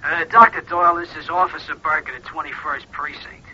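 An actor playing a dutiful police officer reporting a homicide in a 1940s radio drama, brisk and formal. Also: male voice, on the high side, fairly even intonation, bright, forceful tone, breathy edge, exaggerated voice. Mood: businesslike. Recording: dull old radio transcription.

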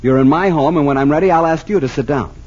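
Touchy, husky, arrogant American rich son. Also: male voice, fairly high pitch, fast talker, darkish tone. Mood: indignant. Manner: curt, combative. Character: touchy, arrogant wealthy son, bristling at police. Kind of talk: old-time radio drama acting. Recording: muffled 1940s-50s radio recording.

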